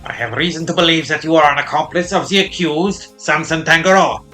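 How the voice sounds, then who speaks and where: A stern, harsh older male prosecutor played by a man reading game lines barks his orders loud and high. The voice is sharp and strained. He commands rather than asks. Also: steady tone, brisk, soft background music. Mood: furious. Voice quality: barking, commanding.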